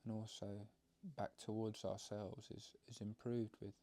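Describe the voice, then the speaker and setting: A man leading a guided meditation, explaining it gently in halting, unscripted phrases. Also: on the low side, flat delivery. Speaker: meditation teacher.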